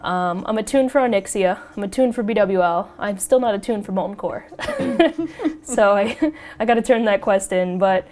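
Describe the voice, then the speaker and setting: Chatty woman, a little raspy from a cold. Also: medium pitch, moderate pace. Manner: chatty and excitable, laughs often. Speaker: podcast host. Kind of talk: casual podcast chat.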